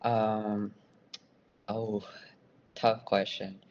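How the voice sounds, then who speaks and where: Casting: young male student guest, Burmese accent, low voice, modest and hesitant, slightly muffled recording. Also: level tone, extremely slow, darkish tone. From Myanmar. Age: young adult. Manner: self-deprecating, hesitant. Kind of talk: casual podcast interview.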